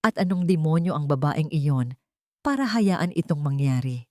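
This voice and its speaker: A woman voicing an outraged, sharp-tongued older neighbor in an audio story, emphatic and trembling with anger. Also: deep voice, expressive, moderate pace, no echo, studio quality. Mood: indignant outrage. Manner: emphatic, indignant.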